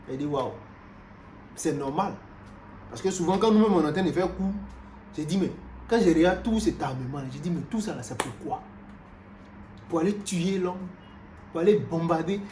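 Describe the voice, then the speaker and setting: A man from Cameroon, preaching a sermon in an animated way, moving from loud exclamations to quiet asides and repeating himself often. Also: high voice. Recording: roomy echo with faint background noise.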